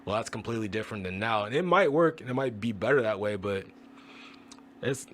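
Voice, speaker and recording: A man with a raspy voice chatting casually as a guest recording artist on a podcast. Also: on the low side, expressive, fairly quick, fairly bright tone, slight background noise. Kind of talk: casual chat.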